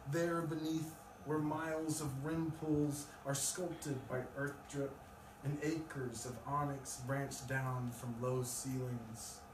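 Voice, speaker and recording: A male poet speaks at a live reading in a room. His voice is picked up from a distance with plenty of echo. There is clear, loud noise over the recording. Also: medium pitch, steady tone, takes it slowly. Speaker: poet reading own work. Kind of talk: live poetry reading with casual introduction. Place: live event in a room with an audience.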